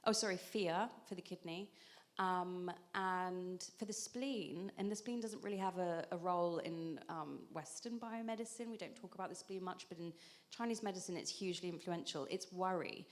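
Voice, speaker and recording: A woman's voice: on the low side, moderate pace. A woman in her thirties, an acupuncturist on a panel, explaining at length in a conversational way with frequent ums and restarts.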